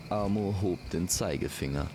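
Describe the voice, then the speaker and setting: A man's voice: sits low, normal speed, noisy background. A man narrating a scripted fairy-tale audio story as its storyteller.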